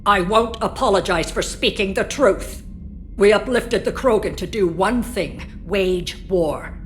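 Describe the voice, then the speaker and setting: A woman's voice: medium pitch, unhurried, slight background noise, natural voice. A woman playing a haughty, bitter alien political leader with an imperious, disdainful manner in a video game. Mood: haughty, scornful. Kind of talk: cinematic video game acting. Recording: dramatic game music behind.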